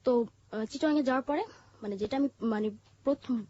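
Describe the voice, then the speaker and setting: A woman with a Bangladeshi accent telling her life story in an interview, haltingly, with pauses and repeated phrases. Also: fairly high pitch, mellow, no echo. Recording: muffled, dull lo-fi audio.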